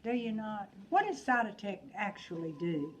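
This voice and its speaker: A woman instructor lecturing a class, thinking aloud with frequent pauses and restarts. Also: mid-range voice, lively intonation. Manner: unhurried, thinking aloud, hesitant. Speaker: nursing instructor.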